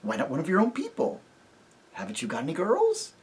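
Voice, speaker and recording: A brave young girl as a reader puts her on speaks softly and earnestly, reasoning with her companions. The voice stays quiet but moves lively in pitch. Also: fairly quick.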